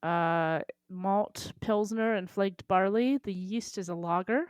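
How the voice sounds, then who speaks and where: A woman co-hosting a casual podcast in a low-key, self-deprecating manner. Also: slow, a little mellow, clear, resonant tone, no room sound, clean studio sound. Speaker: podcast co-host.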